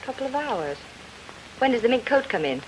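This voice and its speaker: Mink-hungry detective's bride, coy, high-pitched, old radio mystery. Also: female voice, very animated, fairly quick, slight background noise, low-quality recording. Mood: coaxing. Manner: coy, coaxing. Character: coquettish, mink-loving young bride of a detective. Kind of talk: old-time radio comedy-mystery acting.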